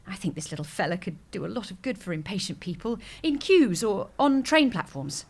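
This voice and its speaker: A woman's voice: mid-range voice, expressive, brisk, gravelly, slightly rough. An actress with a British accent playing a chatty, newly patient visitor who dotes on her pet, in an audio comedy. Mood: cheerful, chatty.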